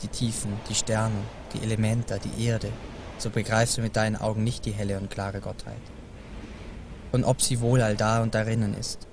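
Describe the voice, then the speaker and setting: Low, even-toned man reciting over clear noise. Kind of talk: solemn recitation of archaic philosophical-religious prose.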